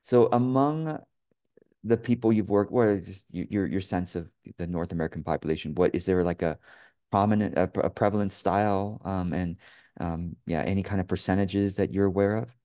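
A middle-aged man from Taiwan hosting an interview over a video call, asking questions in a halting way with many restarts. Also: low voice, mellow. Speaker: host, business coach. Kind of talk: conversational interview. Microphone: webcam on a video call.

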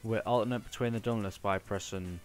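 Low-voiced British gamer, offhand. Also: male voice, steady tone, a little fast, faint music behind. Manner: offhand, sweary. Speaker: let's play commentator. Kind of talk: casual gameplay commentary.

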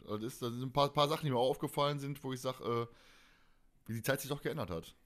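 A man's voice: mid-range voice, fast, a bit bright. A man in his forties hosting a podcast in a casual, colloquial and enthusiastic chat style. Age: 40s. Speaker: podcast host.

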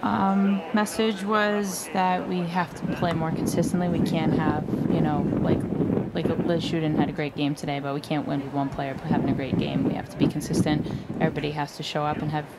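A low-voiced woman coach talks bluntly after a loss and keeps circling back on her words. She is interviewed outdoors at a field, and steady noise hangs behind her voice. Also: moderate pace. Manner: blunt, frank, repeats herself. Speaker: head coach. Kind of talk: postgame interview.

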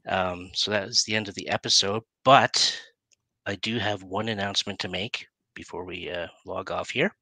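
A man in his forties with a low-pitched, bright voice hosts a relaxed online show. He talks informally and haltingly, often letting sentences trail off. The sound is dry and close, from a headset on a video call. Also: no echo. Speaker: talk show host. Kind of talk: casual online interview chat. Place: indoor room, on a video call.

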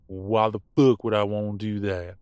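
A man playing a vengeful, stubborn werewolf captor in an audio roleplay, gruff, contemptuous and foul-mouthed. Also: lowish voice, flat delivery, moderate pace, darkish tone, dry recording, natural voice. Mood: cold, scornful.

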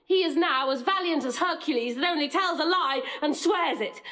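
Fierce, high-pitched Shakespearean noblewoman, Beatrice. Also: moderate pace, fairly bright tone. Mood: scornful, bitter. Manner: declamatory, passionate, theatrical. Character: Beatrice, fierce, indignant noblewoman raging for her wronged cousin. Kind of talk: classical Shakespearean stage acting.

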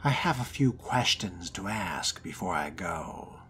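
A man voicing a dutiful but privately scornful vampire fledgling in a game role-play, with a hushed, deliberate drawl. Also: deep voice, expressive, background noise. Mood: measured, deferential. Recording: music behind the voice.

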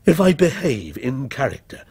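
Man acting out a menacing, gravelly avenging villain. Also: medium pitch, big pitch swings, a little mellow, heavily acted. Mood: bitter, accusing. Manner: taunting, bitter, deliberate. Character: menacing, vengeful male fictional criminal come to life as a policeman.

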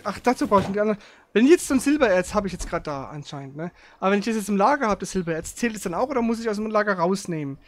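A man doing casual gaming commentary, thinking aloud in a slangy, grumbling way. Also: high voice. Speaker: let's player. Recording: clear background noise.

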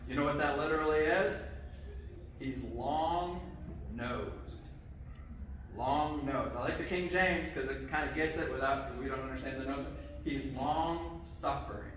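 Pastor preaching from across a church. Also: male voice, on the high side, measured pace, background noise. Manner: lively, asks the kids questions, says okay often. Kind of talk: children's sermon. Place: church sanctuary with congregation. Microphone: far camera. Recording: echoey church from far off.

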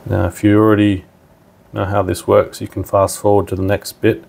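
A man's voice: lowish voice, completely flat delivery, moderate pace, creaky, a little mellow. A man narrating a hands-on product tutorial in an informal, step-by-step way. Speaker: product demonstrator.

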